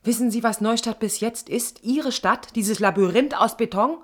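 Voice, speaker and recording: A woman's voice: fairly high pitch, normal speed, lightly acted. An actress playing a defiant, idealistic young woman architect in radio drama dialogue, insistent and challenging. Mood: defiant, passionate.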